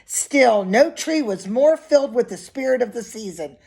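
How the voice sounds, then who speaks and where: A woman with a fairly high, slightly husky voice reads a children's picture book aloud. She is expressive and phrases things simply, as a storyteller speaking directly to young listeners does. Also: normal speed.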